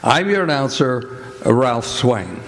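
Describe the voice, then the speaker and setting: A man announcing a live radio theatre show in a formal, deliberate old-time broadcast style. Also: medium pitch, very animated. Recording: live stage with music and audience. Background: music and audience noise.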